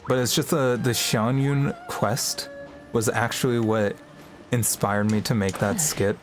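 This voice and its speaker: A man's voice: medium pitch, steady tone, a little slow, faint music behind. A man livestreaming a game with casual, chatty commentary.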